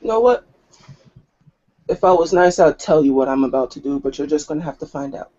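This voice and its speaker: Ill-tempered female pack leader, played by a man, prying and bossy, relaxed tone, lo-fi tabletop session. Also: a little high, brisk. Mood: ominous. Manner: curt, imperious, prying.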